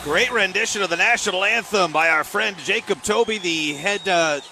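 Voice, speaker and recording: A man's voice: high-pitched, normal speed. An American man hosting live basketball radio, giving pregame commentary. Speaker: radio basketball play-by-play host. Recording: live arena broadcast with music behind. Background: music and arena sounds.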